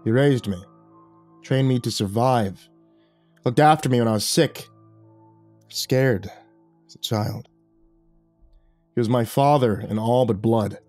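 A man voicing a gruff, battle-hardened warrior, weary and bitter with grief. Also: medium pitch, takes it slowly, pauses often, a little mellow, dry recording, faint music behind, voice pushed down. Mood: grieving.

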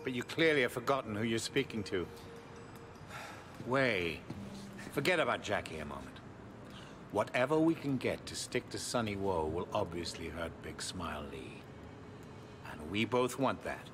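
A cool, formal police commander. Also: male voice, fairly low pitch, very animated, noisy background. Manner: formal, measured, clipped. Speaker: police superior. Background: game score music.

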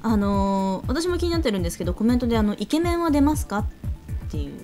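Lively young woman on a video call. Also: a little high, fast talker. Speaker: show panelist. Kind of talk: casual talk show chat. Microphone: remote video call. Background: background music.

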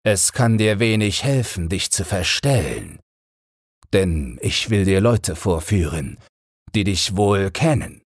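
A man's voice: low-pitched, expressive, slow, dry recording, studio quality, pitched above the performer's own voice, heavily acted. A man voicing a fearsome, wrathful robber chief in a storybook, commanding, menacing and formal. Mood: stern.

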